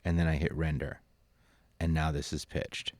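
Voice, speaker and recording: Male software instructor, deep voice, relaxed screencast delivery that thinks out loud, dry sound with no room. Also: moderate pace, dry recording. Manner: informal, thinking aloud. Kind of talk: casual screen-recorded tutorial narration.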